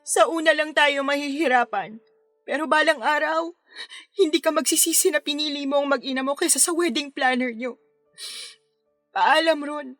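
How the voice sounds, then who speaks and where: An actress playing a heartbroken young wedding planner in a radio drama, pleading tearfully but resolutely with a Filipino accent. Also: female voice, high voice, moderate pace, a bit bright, no echo, faint music behind. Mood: sad, resolute. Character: heartbroken young female wedding planner giving up her lover.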